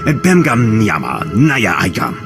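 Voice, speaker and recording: An actor voicing a small alien creature chattering in an invented tongue for a video game. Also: male voice, fairly low pitch, wildly swooping pitch, measured pace, exaggerated voice. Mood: chattering, insistent. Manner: speaks invented alien gibberish. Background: loud game music.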